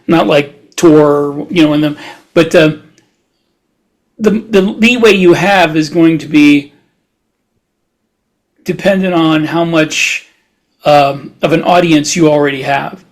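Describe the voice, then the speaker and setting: A man co-hosting a podcast, talking casually and haltingly as he thinks aloud. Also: on the high side, rough recording. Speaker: podcast co-host and author. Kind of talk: casual podcast discussion of publishing.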